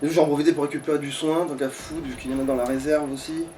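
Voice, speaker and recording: A man with a slightly high voice rattles through casual gaming commentary. He grumbles and swears freely, sitting a little away from the mic. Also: steady tone, fast talker, dark tone. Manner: foul-mouthed, grumbling. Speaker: let's player. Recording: distant mic, faint background noise.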